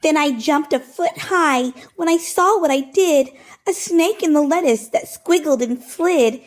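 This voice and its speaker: A woman hosting storytime, reading a rhyming picture book aloud in an expressive, rhythmic, sing-song way. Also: sits high, measured pace.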